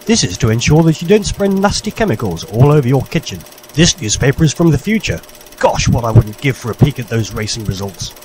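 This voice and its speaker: Animated man narrating a mock newsreel. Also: mid-range voice, a little hiss or hum. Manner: formal, jolly, old-fashioned instructor. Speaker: narrator of an instructional film. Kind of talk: parody vintage public information newsreel narration.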